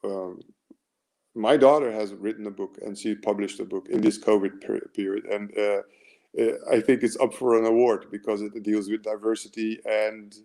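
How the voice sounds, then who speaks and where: A man in his forties with an American accent speaks in a low, gravelly, mellow voice. He is a mental performance coach giving a motivational webinar talk. He is earnest and rambling, and often restarts his sentences. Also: fairly even intonation, normal speed.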